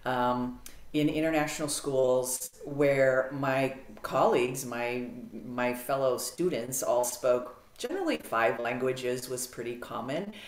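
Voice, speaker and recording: A middle-aged American woman talking as a podcast guest on a video call, animated and clear with frequent ums. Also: very deep voice, slow pace, a little hiss or hum. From United States. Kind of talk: casual interview storytelling. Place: home office, video call. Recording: roomy video-call audio.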